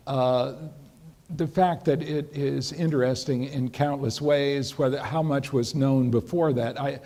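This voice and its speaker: A man's voice: mid-range voice, creaky, dark tone. An older man, a lecturer, telling a long anecdote to an audience with restarts and a dry humor. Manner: rambling storyteller with frequent restarts and dry humor. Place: lecture hall.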